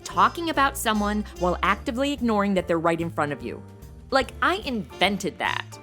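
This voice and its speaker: A woman's voice: mid-range voice, very animated, normal speed, pushed and bright, faint music behind. A woman playing a brash, sarcastic young temp posing as a showgirl, talking in blunt modern slang in a noir comedy audio drama. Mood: indignant.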